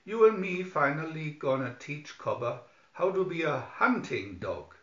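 A man's voice: on the high side, big pitch swings, measured pace, a bit bright, voice pushed up. Man playing a folksy storybook hunter, echoey. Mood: commanding. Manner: folksy, colloquial. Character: rustic male hunter, gruff dog owner. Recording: dull lo-fi recording, roomy echo.

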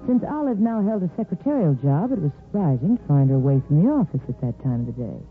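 A thin vintage radio sound with faint music carries a woman storyteller. She narrates a 1950s soap opera knowingly, with dramatic phrasing and swooping, animated intonation. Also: low voice, fast talker. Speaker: storyteller host. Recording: tinny 1950s radio recording.

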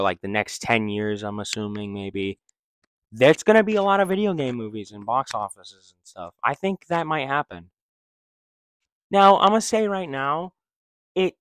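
A young man in a living room, rambling excitedly in slangy casual talk into a handheld mic and restarting his sentences. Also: medium pitch, no room sound. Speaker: vlogger. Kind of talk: casual opinion vlog. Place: living room, seated in armchair. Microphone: handheld mic held close.

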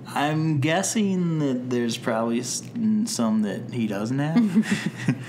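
A man co-hosts a laid-back podcast, working through episode notes at an unhurried pace. His humor is dry and deadpan. A faint hiss of noise sits under the voice. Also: medium pitch. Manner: dry, deadpan jokes, reads plot notes aloud. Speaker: podcast co-host. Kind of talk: casual chat recapping a TV episode.

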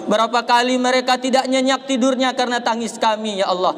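A young man preaching an Eid sermon and prayer from a pulpit outdoors, impassioned and rising to shouts on key lines. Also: very high-pitched, steady tone, fast, in a large hall.

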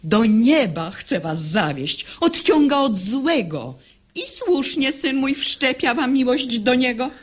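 A woman's voice: high-pitched, sing-song, slow pace, slightly rough, heavily acted. An actress playing a sanctimonious, imperious old grandmother in a radio verse comedy, preachy and haughty. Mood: pious, stern. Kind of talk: classic stage comedy radio acting in verse.

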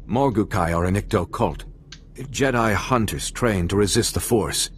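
Male actor as troubled ambassador for a sci-fi game: formal, earnest, fairly low pitch. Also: measured pace, slight background noise, close to an everyday voice. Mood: grave. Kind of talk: video game voice acting.

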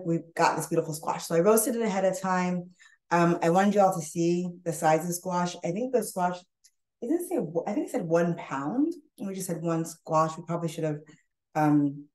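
A young woman chef chatting loosely while she cooks on a live online demo. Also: fairly low pitch. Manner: chatty, loose and animated, talks while cooking. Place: home kitchen. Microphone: webcam on a video call. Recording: echoey kitchen.

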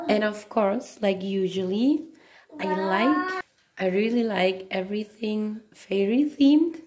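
A woman with a deep, husky voice, hoarse from a cold, explaining each step of a craft tutorial, with small slips. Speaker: craft channel host.

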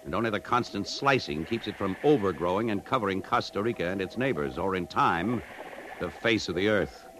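A man's voice: sits low, animated, brisk. An American man acting a sardonic, indignant kidnapped adman in a 1950s radio drama. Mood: grim, narrating. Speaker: lead actor and first-person narrator. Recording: noisy vintage 1950s radio recording.

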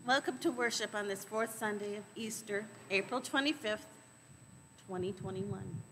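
A middle-aged woman reading the weekly announcements at a church lectern in a measured way. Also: medium pitch, fairly bright tone. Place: large church sanctuary, indoors. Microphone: lectern microphone. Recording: long church reverb, faint background noise.

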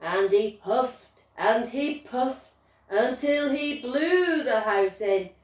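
Very slow, animated woman storyteller. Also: a little high. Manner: sing-song, emphatic, addressing young children. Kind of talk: animated children's story reading. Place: small living room, indoors. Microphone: distant camera. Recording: roomy echo, far from the mic.

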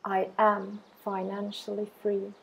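A woman guiding an affirmation meditation in a soothing, measured way, with long pauses between deliberate phrases. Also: medium pitch, completely flat delivery, gravelly, a bit bright, slight background noise, poor audio. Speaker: meditation guide.

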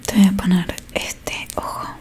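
A young woman with a Rioplatense Spanish accent doing an ASMR roleplay in a soft, breathy whisper close to the microphone, slowly repeating words to soothe. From Argentina. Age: young adult. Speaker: ASMR roleplay creator playing a technician. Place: small dim curtained room indoors. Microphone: close microphone in front of her.

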